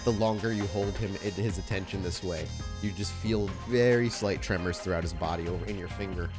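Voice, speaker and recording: A man's voice: low voice, brisk, music in the background. A man improvising casual narration as the game master of a tabletop role-playing game.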